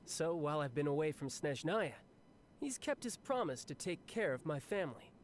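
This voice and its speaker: An actor playing a cocky, cheerful young warrior officer in a video game, speaking in a breezy, confident, conversational way. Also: male voice, on the high side, sing-song, moderate pace, dry recording. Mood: breezy, upbeat. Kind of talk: scripted video game character monologue.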